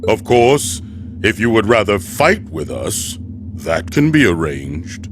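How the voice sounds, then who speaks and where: An actor playing a stern, protective male mercenary in a video game, speaking formally and deliberately with dry menace. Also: lowish voice, big pitch swings, noisy background, exaggerated voice. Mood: calm menace. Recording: music behind the voice.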